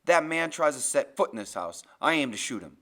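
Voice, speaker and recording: A man's voice: mid-range voice, big pitch swings, fast, sharp tone, pitched below the performer's own voice. Old veteran grandfather for an audiobook, firm, grim, fiercely protective, acted by a male narrator. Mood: bitter resolve. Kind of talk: audiobook character voice.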